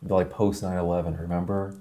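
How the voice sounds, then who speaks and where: A man's voice: low-pitched, flat delivery, brisk, background noise. A man chiming in on a family chat, prompting with casual "like" and "remember". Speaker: family member on a podcast.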